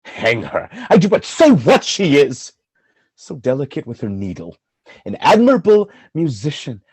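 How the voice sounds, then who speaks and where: Give this jealous general a husky voice and a slow, dramatic delivery from a male actor. The pitch swings widely between brooding and anguished outcry, as in a Shakespearean radio play, recorded close and dry. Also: very animated, darkish tone, dry recording. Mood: bitter fury. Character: tormented jealous husband, a military general.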